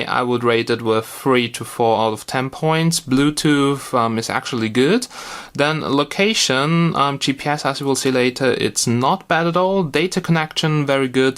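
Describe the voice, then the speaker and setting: A man's voice: medium pitch, a bit bright. A man giving a casual, unscripted product review, speaking bluntly and informally and often repeating himself as he goes. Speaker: gadget reviewer, host.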